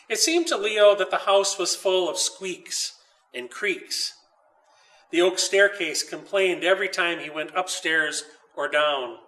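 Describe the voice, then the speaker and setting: A man reading a children's story aloud as its author, in a measured, deliberate way with pauses. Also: high voice, sharp tone, far from the mic, rough recording. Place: old dairy barn.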